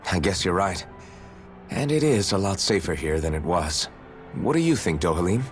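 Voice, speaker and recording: Lively low-voiced young hero. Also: male voice, normal speed. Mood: easygoing. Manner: sincere, direct, encouraging. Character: earnest, idealistic young male freedom fighter. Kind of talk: video game voice acting. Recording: game dialogue over music.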